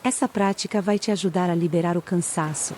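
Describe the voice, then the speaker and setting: A Brazilian woman narrating a guided meditation in a calm, soothing way with long pauses. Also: lowish voice, noisy background. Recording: ocean waves behind the voice. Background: sound of sea waves.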